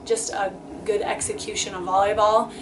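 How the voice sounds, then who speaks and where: A woman's voice: mid-range voice, little pitch movement, moderate pace. A woman speaking as a volleyball head coach in an informal sports interview. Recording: distant mic, clearly noisy background.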